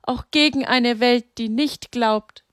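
A woman's voice: slow pace, clear, resonant tone, no room sound, clean studio sound. A woman voicing Mary, a grieving, devout mother who pleads in a raised, anguished voice. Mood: desperate. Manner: pleading, anguished, high-pitched.

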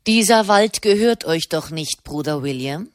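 A woman's voice: sits low, expressive, unhurried, no room sound, studio quality. Woman playing a cheerful stout old schemer. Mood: teasing. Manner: cheerful, unruffled. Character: large stout aging nobleman, cheerful schemer.

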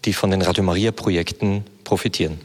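A man's voice: low voice, steady tone, quick pace. A man interpreting a sermon consecutively, fluent but with frequent hesitation sounds. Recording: reverberant hall.

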